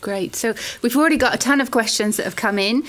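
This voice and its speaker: A woman's voice: fairly high pitch, fast, a bit bright. A British woman lecturer hosting a live online open day and passing viewers' questions to a panel. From Britain. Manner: friendly, upbeat, moderating questions. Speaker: host and lecturer.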